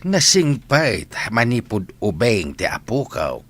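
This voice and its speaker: A man's voice: medium pitch, very animated, slow pace, fairly bright tone, heavily acted. An actor playing a fond, plain-spoken elderly father in an Ilocano radio drama. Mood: earnest, fond.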